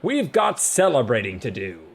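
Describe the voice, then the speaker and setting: A man's voice: on the high side, wildly swooping pitch, a little slow, fairly bright tone, breathy edge, voice pushed up, heavily acted. A man voicing a brash, careless god of rocks in a comic fantasy audiobook, with a blustery, offhand, bossy manner.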